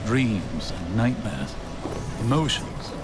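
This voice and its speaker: A man playing a grave, scholarly older researcher of mysteries in a video game cutscene, speaking formally and deliberately, as if lecturing. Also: lowish voice, very animated, heavy background noise. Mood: intent, pondering. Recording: music behind the voice.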